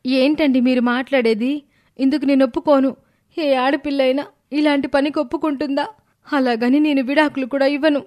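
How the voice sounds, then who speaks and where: A woman's voice: high-pitched, fairly even intonation, fairly quick, a little mellow, voice pushed up, lightly acted. A woman acting a wronged young wife in a story, emotional, earnest and resolute. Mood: shocked, defiant. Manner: earnest, protesting.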